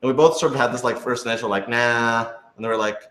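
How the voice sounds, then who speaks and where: A man's voice: medium pitch, fairly even intonation, quick pace, sharp tone. A man chatting casually on a question-and-answer panel as an audio drama's writer, rambling as he thinks aloud. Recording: roomy, echoey room sound.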